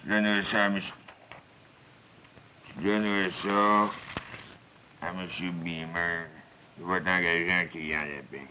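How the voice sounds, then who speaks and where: A man's voice: low-pitched, fairly even intonation, unhurried, long pauses. A man acting as a middle-aged village mayor in a 1950s television drama, sly and folksy, with a Quebec French accent. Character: sly village mayor, needling and falsely modest. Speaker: actor playing a village mayor. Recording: muffled, hissy 1950s television soundtrack.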